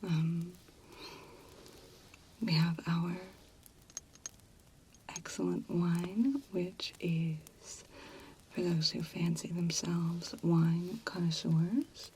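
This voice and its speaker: Casting: woman as friendly fantasy tavern maid, hushed and confiding, mellow low voice with light creak, ASMR role play. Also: fairly even intonation, very slow, long pauses, a little hiss or hum. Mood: gentle, welcoming.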